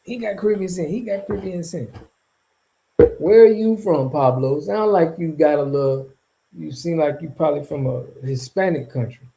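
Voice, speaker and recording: A man talks loosely to his chat in a livestream, animated and mocking, full of slang and quick laughs. His voice sits a little high for a man, with a soft, mellow tone. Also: gravelly, slight background noise, rough recording. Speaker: streamer reacting to viewer chat. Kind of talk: casual livestream commentary.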